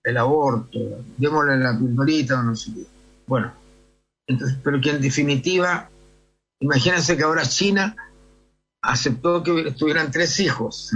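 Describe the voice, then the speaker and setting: An older Chilean man with a husky, rough-edged voice speaks as a priest being interviewed. He talks discursively, checking for agreement as he goes. The recording is a little dull and lo-fi. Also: on the high side, moderate pace, a bit bright, soft background music. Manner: discursive, often seeks agreement with tag questions. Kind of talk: conversational interview answers.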